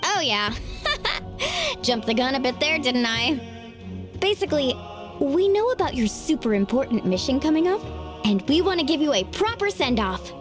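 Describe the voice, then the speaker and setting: Peppy impulsive teen girl adventurer, anime game, high voice that bounces all over. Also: sing-song, normal speed, music in the background. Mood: excited, breezy. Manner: breezy, enthusiastic, teasing. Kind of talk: anime-style video game voice acting.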